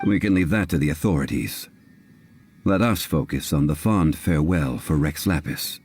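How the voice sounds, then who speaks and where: Deep-voiced, refined gentleman. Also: slightly rough. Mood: composed. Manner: formal, measured wording. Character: calm, refined, mysterious gentleman consultant, formal and composed. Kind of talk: video game voice acting.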